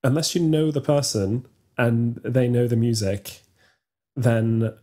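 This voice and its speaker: A young man whose first language is Norwegian, with a mellow, warm tone at a middling pitch. He explains things over a video call from a small room at home, measured and a little hesitant. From Norway. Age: young adult. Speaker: podcast co-host, singer. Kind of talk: casual chat. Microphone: headphones with studio mic on video call.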